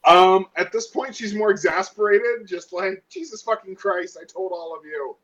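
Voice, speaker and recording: A man's voice: high voice, moderate pace, a bit bright. A man playing a tabletop game on a dull lo-fi channel, casually voicing his character's lines.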